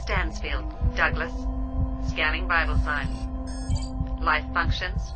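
A performer voicing an impassive spaceship computer in a radio drama, clipped monotone readouts. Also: medium pitch, takes it slowly, heavy background noise, close to an everyday voice. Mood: impassive, procedural. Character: impassive spaceship computer reading out vital signs.

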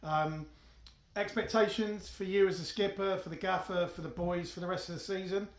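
A man's voice: high voice, steady tone, normal speed. A man interviewing a club captain, asking conversational, prompting questions from off camera. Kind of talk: post-match interview questions. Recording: echoey, off-camera and far from mic.

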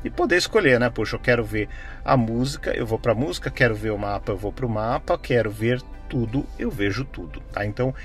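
Middle-aged Brazilian male tech vlogger, casual and explanatory, medium pitch, recorded on a phone in a car with faint music. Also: brisk. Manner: conversational, explanatory, frequent tag questions. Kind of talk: casual hands-on product demonstration. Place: inside a parked car. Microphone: phone camera microphone, no external mic.

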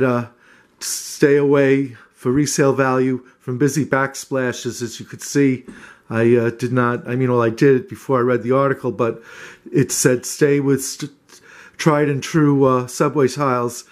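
Man, homeowner type, flat monotone delivery, halting with lots of "uh"s, casual camera-held house tour. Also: mid-range voice. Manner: hesitant, many "uh" fillers and restarts. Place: small wood-paneled cottage indoors.

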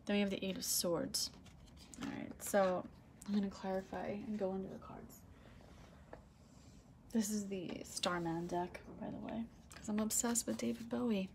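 A woman giving a casual tarot reading to camera, soft-spoken with a low, husky voice that is starting to give out, often asking "okay?"; recorded indoors on a faulty microphone. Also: steady tone, moderate pace, pauses often, slight background noise. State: losing her voice, clearing throat. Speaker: tarot reader. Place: indoor room, red curtains and candles on a table. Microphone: broken microphone, quiet.

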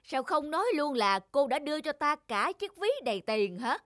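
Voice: high-pitched, sing-song, normal speed, a bit bright, no room sound, studio quality. An actor playing a greedy, callous male innkeeper in a cartoon, talking in a sly, mocking manner. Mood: scornful. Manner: sly, scornful.

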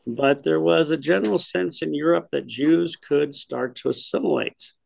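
A middle-aged man lectures an adult class from his home study over a webcam call. His voice is heavily gravelly, the sound close and dry with no room around it. He thinks aloud as he goes, corrects himself and throws questions to the class. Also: fairly high pitch, normal speed, dry recording. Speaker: teacher of an adult history class. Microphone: webcam on a video call.

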